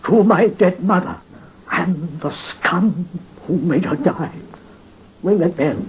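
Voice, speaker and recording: An actor playing a dying French count consumed by vengeance, weak yet commanding. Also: male voice, high voice, takes it slowly. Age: 50s. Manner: weak but commanding, imperious. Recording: dull, faintly hissy 1930s radio recording.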